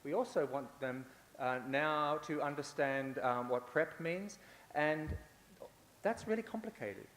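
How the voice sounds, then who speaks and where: A man's voice: a little high, unhurried, a bit bright. Man speaking in an echoey hall. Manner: repetitive, rhetorical, with fillers and restarts. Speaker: conference speaker. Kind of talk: conference talk. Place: large conference hall. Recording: echoey hall with faint hiss.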